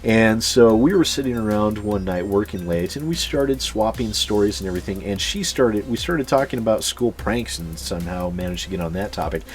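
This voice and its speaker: A man's voice: lowish voice, animated, a little fast, hardly any pauses, soft background music. A man telling personal anecdotes casually as a channel host, swearing freely and chuckling as he goes. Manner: sweary, chuckles through his stories. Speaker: YouTube storyteller and channel host.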